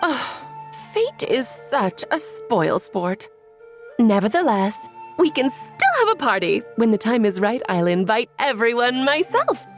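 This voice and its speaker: A woman's voice: on the high side, very animated, unhurried, soft background music. A woman voicing a playful, whimsical sorceress with a theatrical, breezy delivery in a video game.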